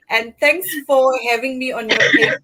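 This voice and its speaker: A woman's voice: high voice, background noise. A woman from Fiji, a guest talking in a casual live interview over a video call, friendly and rambling, laughing often. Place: home in Sydney, afternoon.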